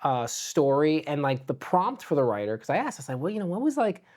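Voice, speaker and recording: A man's voice: on the high side, sing-song, a little fast, a little mellow. Chatty man, loose and rambling. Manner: loose and chatty, filler "like" everywhere. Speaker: co-host. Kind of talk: casual panel chat.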